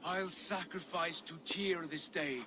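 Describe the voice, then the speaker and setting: An actor playing a proud, devout Norse warrior, ceremonious and mocking, in a dramatic video game. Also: male voice, on the high side, fairly even intonation, takes it slowly, sharp tone, slight background noise. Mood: solemn. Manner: grandiose, ceremonious.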